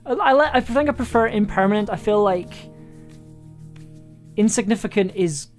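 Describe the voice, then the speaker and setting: A woman chatting casually on a livestream as she writes aloud, halting and repeating herself as she thinks. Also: medium pitch, lively intonation, a little mellow. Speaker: audio drama writer and live streamer. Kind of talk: casual livestream chat while writing aloud. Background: music.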